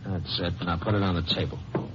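A man's voice: low voice, steady tone, a little fast, over loud music, close to an everyday voice. An American actor playing a menacing, slangy tough guy in a 1950s radio crime drama. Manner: slangy, hard-boiled, threatening. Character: menacing tough gunman with wry underworld slang. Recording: muffled, noisy 1950s radio recording.

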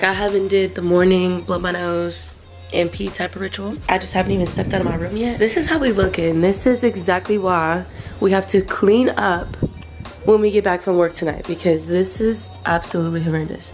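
A woman in her twenties talking chattily to her viewers, her voice a little hoarse from a cold, filming herself with a handheld camera. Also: medium pitch. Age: 20s. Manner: chatty, rambling, talks straight to the viewers. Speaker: vlogger. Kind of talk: casual vlog chat. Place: small bedroom at home. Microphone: handheld camera, close.

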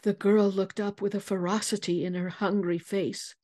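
A woman giving a dramatic, expressive audiobook narration, deliberate and articulate. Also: medium pitch. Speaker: voice actor and narrator.

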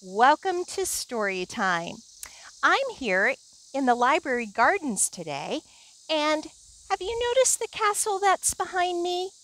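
A woman presents a children's storytime outdoors. Her voice is high and singsong, and she speaks slowly for little listeners. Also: sing-song, a bit bright, poor audio. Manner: singsong, addressing young children. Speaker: children's librarian, storytime host. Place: outdoors in a library garden.

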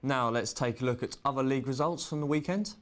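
A man's voice: mid-range voice, quick pace. A man presenting sports news, reading results and links from a script in a student news bulletin.

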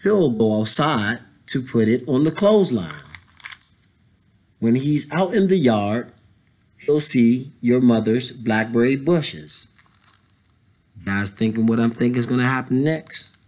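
A man in his thirties reading a children's picture book aloud, pausing to add casual explanatory asides for young listeners. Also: mid-range voice, expressive. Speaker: story time reader, educator. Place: indoors, school library.

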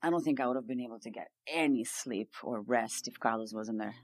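A woman's voice: rumbling low, a little fast. An actress playing an earnest, weary homeless migrant woman, confiding her story in a conversational audio-drama monologue. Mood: tender, worried. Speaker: narrator-protagonist of an audio drama. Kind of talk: first-person dramatic monologue. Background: plucked guitar music.

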